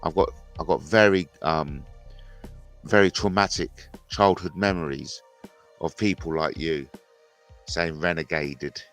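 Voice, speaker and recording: Slow, gravelly man in his fifties. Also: rumbling low, a bit bright, faint music behind. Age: 50s. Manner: rambling, jokey, repeats himself, says he has a speech impediment. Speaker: livestream host. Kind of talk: casual livestream chat.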